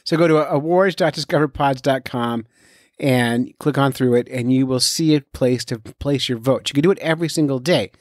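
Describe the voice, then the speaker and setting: A man's voice: medium pitch, normal speed, no room sound, clean studio sound. A man hosting a podcast in casual, unscripted talk, informally addressing and urging his listeners directly. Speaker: podcast host.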